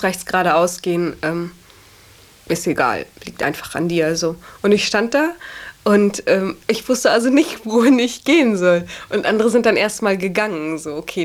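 Young woman in her 20s, normal pitch, just chatting and thinking out loud, adds questioning tags. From Germany. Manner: often ends phrases with a questioning "ja". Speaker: interviewee. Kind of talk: reflective casual personal talk.

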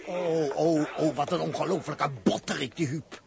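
An actor playing an anxious space crewman in an old radio drama, commenting in halting exclamations. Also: a little high, steady tone, creaky, a loud crowd. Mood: dismayed. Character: anxious crewman watching and reporting on comrades. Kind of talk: 1960s radio drama acting.

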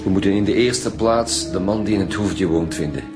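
Guy playing flemish police team boss, radio drama, fairly low voice, brisk n in charge, music behind. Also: natural voice. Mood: businesslike. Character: brisk, authoritative male police detective team leader. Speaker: lead detective.

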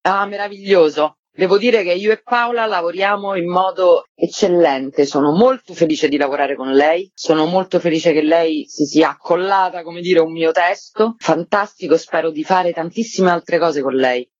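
A woman's voice: on the low side, normal speed, hardly any pauses, dry recording. A woman giving informal, enthusiastic answers as a theatre-maker in a casual radio interview. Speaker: theatre writer, director and performer interviewed as guest. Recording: slightly dull, lo-fi, dry remote sound.

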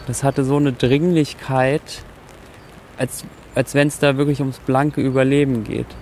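A man speaking spontaneously and reflectively as an interviewee, halting as he searches for words. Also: medium pitch, steady tone, darkish tone. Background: music.

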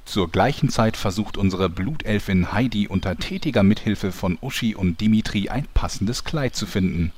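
A man's voice: fairly low pitch, moderate pace, few pauses, darkish tone, natural voice. A man narrating a comedy fantasy audio drama as a mock-epic storyteller. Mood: wry storytelling.

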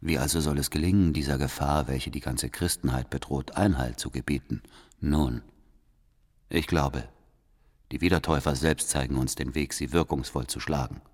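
An actor playing a cunning, servile spy in a radio drama, reading out his secret letter in a formal, deferential, measured way. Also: a bass voice. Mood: servile, calculating. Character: cunning male spy, servile and coldly calculating. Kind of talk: radio drama, letter read as inner monologue.

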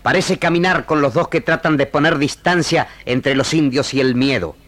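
A man's voice: a little high, moderate pace, a bit bright, close to an everyday voice. A man narrating a frontier radio serial as a solemn storyteller, in a dramatic scripted style. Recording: noisy old radio archive tape.

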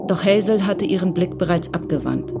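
Female narrator, low-pitched, scripted literary radio drama narration, clear music underneath. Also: lively intonation, fairly quick.

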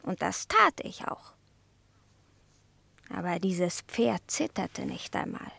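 A woman playing a haughty, sharp-tongued female cat in a 1960s German radio play, speaking with grand, theatrical disdain. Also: lowish voice, big pitch swings, slow pace, gravelly, slightly rough, dry recording, heavily acted. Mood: dramatic indignation.

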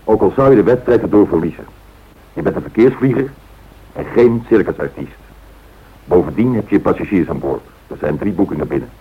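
Husky, low-voiced airline boss. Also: male voice, lively intonation, fairly quick, exaggerated voice. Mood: firm, businesslike. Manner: firm, businesslike, instructing. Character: authoritative, cautious male airline director. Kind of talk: radio drama dialogue. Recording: thin, phone-like old radio recording.

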